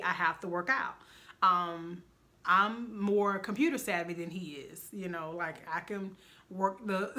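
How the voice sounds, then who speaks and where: A woman with a bright, forward voice talks in an earnest, chatty way. She explains things at length while co-hosting a casual couple's vlog. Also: mid-range voice. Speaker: vlog co-host.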